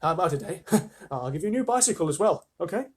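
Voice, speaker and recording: Reader as a sly man, hushed and husky. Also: medium pitch, sing-song, fast talker. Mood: sly, coaxing. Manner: whispered, coaxing. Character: sly tall man wheedling to buy.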